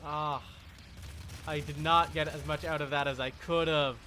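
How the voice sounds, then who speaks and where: A man's voice: a little high, steady tone, normal speed, noisy background. A man giving casual gaming livestream commentary in short, playful asides, as if talking to the game and chat. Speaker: livestream host.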